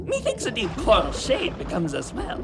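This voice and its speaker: A woman's voice: fairly high pitch, wildly swooping pitch, moderate pace, heavy background noise. Woman as a vain, swooping, cackling witch. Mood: gleefully wicked. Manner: theatrical, cackling, archaic. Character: vain, wicked witch, proud of her fair skin. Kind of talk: amateur Shakespearean radio drama acting.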